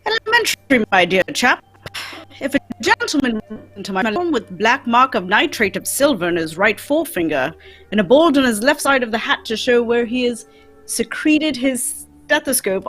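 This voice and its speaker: A woman playing a brisk, self-assured male detective in an old-time radio play, speaking precisely and theatrically with a husky voice. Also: on the high side, fairly bright tone, heavily acted. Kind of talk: old-time radio drama acting, read live.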